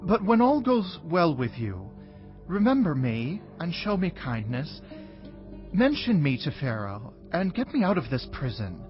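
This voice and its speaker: An actor playing an earnest young Hebrew prisoner in a dramatized scripture reading, speaking in a solemn, measured way. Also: male voice, fairly high pitch, very animated, lo-fi sound, faint music behind. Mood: solemn, pleading.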